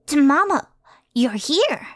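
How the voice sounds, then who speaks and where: A woman playing an excitable, devoted female tengu spirit in an ASMR roleplay. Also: high-pitched, slow pace, no echo, clean studio sound, full character voice. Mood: happy, excited.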